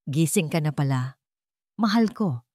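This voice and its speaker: Audiobook villain: controlling Filipino man, icy composure, quiet threat, dry sound played by a female narrator. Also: deep voice, a little slow, no echo. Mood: chillingly calm. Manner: calm, cold, threatening. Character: menacing, controlling male villain with chilling calm. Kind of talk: audiobook character acting.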